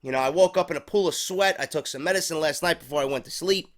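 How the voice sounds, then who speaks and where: A man's voice: a little high, quick pace. A man with a stuffy head cold hosting a casual wrestling review show, rambling and swearing freely. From New York. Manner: profane, rambling, unscripted.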